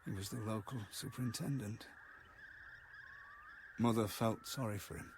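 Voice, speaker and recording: An actor playing a haunted, halting man who recalls a wartime childhood in a radio drama. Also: lowish voice, steady tone, slight background noise, lightly acted. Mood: grave, remembering. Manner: halting, fragmented, hesitant delivery.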